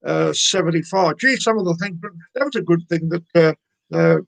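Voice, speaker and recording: A man's voice: on the high side, creaky, dry recording. An older Australian man presenting a radio show, chatting casually between songs with lots of ums and uhs. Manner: halting, many ums and uhs.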